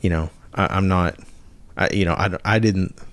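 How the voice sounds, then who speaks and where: A man co-hosting a casual porch chat, easygoing and halting, with many restarts. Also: low voice, no room sound. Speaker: podcast co-host.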